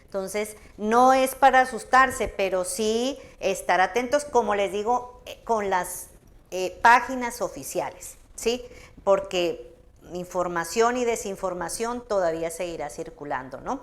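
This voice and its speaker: A woman, an author, explaining patiently to an audience and often checking in with a "¿no?". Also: mid-range voice. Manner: measured, explanatory, frequent "¿no?" tags. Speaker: book author in a public talk. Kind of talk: explanatory talk answering audience.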